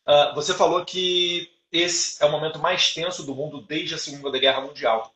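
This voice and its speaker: A man's voice: a little high, steady tone, moderate pace, pushed and bright. A man hosting a live stream interview, talking conversationally with fillers and repeated words. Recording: echoey room.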